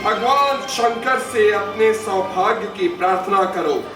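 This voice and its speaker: A man's voice: high-pitched, a little slow. A man playing a proud, wrathful demon king in a mythological drama, declaiming in a grand theatrical way. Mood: resolute. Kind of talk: mythological stage drama dialogue. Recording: echoey, distant playback with loud music.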